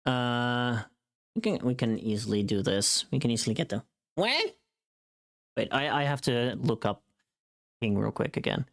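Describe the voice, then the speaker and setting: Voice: medium pitch, lively intonation, normal speed, long pauses. A livestreamer chatting casually while working, easily distracted and prone to exclamations.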